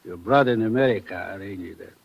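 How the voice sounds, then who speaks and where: An actor playing a curt, shady Portuguese smuggler guide in a 1950s radio drama. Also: male voice, low voice, quick pace, creaky, husky, muffled recording, exaggerated voice. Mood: curt, secretive.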